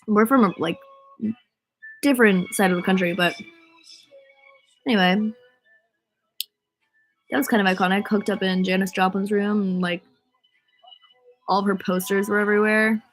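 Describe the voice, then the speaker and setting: A woman's voice: medium pitch, steady tone, moderate pace, long pauses, faint music behind, low-quality recording. A young American woman with a stuffy cold, chatting casually with fans, rambling through stories full of "like" and "um". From United States. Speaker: singer answering fan comments. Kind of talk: casual chat on a livestream.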